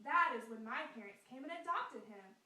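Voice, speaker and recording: A bright, high, lively girl puppet, voiced by a woman, earnest and explanatory in a sing-song storybook manner. Her voice swoops up and down with a sharp, brilliant edge. She sounds set back from the mic in an echoey room. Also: a little fast, recorded from a distance, heavily acted. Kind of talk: scripted puppet skit read aloud.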